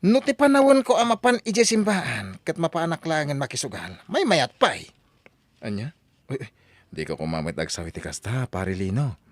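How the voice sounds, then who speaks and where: A man's voice: medium pitch, sing-song, moderate pace, dry recording. A man playing a stubborn, boastful husband and father who teases his friends in a radio drama. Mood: teasing. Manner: boastful, teasing. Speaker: voice actor.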